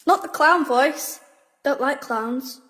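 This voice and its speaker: High-voiced, plucky schoolgirl. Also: slow, fairly bright tone. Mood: decisive. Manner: matter-of-fact, decisive. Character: plucky, determined schoolgirl who dreams of sculpting. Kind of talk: audio drama acting.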